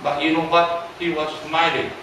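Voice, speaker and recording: A man in his seventies with a Taiwanese accent, preaching a storytelling sermon in a halting way, repeating words and asking his listeners questions. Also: on the high side, little pitch movement. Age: 70s. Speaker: pastor. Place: church hall. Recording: echoey church hall from far off with background noise.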